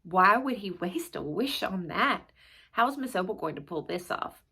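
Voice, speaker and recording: A woman voicing an earnest, wide-eyed young schoolboy from a children's storybook in an expressive way. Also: fairly low pitch, moderate pace, creaky, breathy edge, full character voice. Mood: amazed, puzzled. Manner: expressive, dramatic storybook reading.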